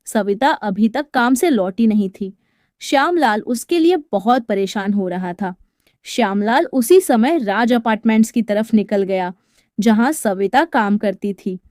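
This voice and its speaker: A woman's voice: mid-range voice, lively intonation, measured pace, darkish tone, dry recording, clean studio sound. A woman storyteller reading a scripted narration.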